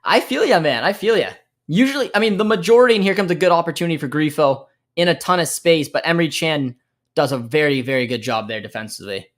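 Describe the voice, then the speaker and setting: A man's voice: a little high, lively intonation, fast talker, fairly bright tone. A young American man hosting a live football watchalong, chatting casually with his viewers, reacting to the play and thinking aloud with lots of fillers. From United States. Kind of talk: casual live match commentary and chat reading.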